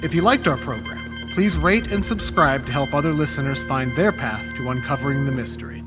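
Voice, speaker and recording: A man's voice: mid-range voice, brisk, rough recording. A man reading scripted podcast credits as the show's announcer, with music playing behind him.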